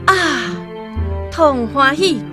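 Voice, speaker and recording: A woman with a Taiwanese accent narrating a radio drama as an expressive scripted story. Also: high voice, extremely slow, music in the background.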